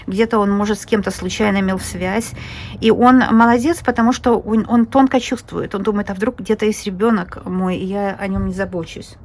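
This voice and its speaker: A woman's voice: medium pitch, fairly even intonation, fairly quick, darkish tone, slight background noise. A woman reading tarot cards to viewers in a rambling, chatty way, full of fillers and restarts. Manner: addresses viewers directly as friends and girls. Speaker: tarot reader. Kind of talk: casual tarot reading monologue.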